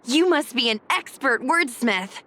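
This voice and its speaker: A woman's voice: sits high, animated, measured pace, bright, forceful tone, exaggerated voice. A woman voicing a bossy, enthusiastic young ghost hunter girl in an anime-style video game. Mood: pushy, upbeat. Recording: dry voice with faint music.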